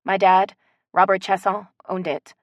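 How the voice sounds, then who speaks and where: A woman voicing a polite, soft-spoken young woman from the city in an audiobook. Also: mid-range voice, level tone, measured pace, no room sound, dull audio, close to an everyday voice.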